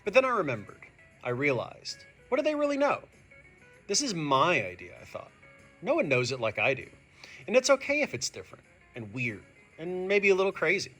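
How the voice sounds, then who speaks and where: A man who is a teacher reading a picture book aloud to young students, expressive and speaking to them directly. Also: high-pitched, brisk, faint music behind. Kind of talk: animated children's read-aloud.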